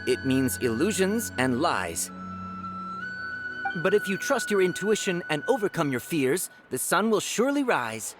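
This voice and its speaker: A gentle novice fortune-teller from a video game, absent-minded and pondering. The delivery is slow and halting yet melodic in its rise and fall, with music clearly playing behind. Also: medium pitch, very animated. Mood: reassuring. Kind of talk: video game character acting.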